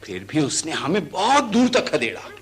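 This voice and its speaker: An earnest elephant catcher in a radio drama, humble before his master. His voice sits fairly high and moves in lively swings as he recounts things vividly, over faint background noise. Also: moderate pace. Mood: excited recounting. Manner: servile address, vivid excited recounting. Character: deferential, earnest male elephant catcher, eager storyteller. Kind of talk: Hindi radio drama acting.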